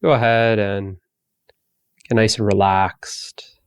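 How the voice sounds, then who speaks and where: A man guides a meditation in a fairly deep voice, recorded very dry and close. He speaks very slowly and gently, leaving long pauses between phrases. His manner is calm and soothing throughout. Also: smooth, no echo.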